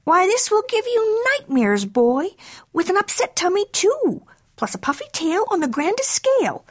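A woman's voice: very high voice, big pitch swings, normal speed, voice pushed up. A woman voicing a fussy, scolding mama cat in a storybook, with dramatic rhyming delivery. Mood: fussy, warning.

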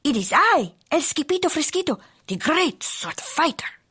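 A woman's voice: sits high, big pitch swings, moderate pace, bright, forceful tone, pitched above the performer's own voice, heavily acted. A woman voicing a bold little Chihuahua swordfighter in a storybook, theatrical and singsong, with a put-on Spanish accent. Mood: bold, grand.

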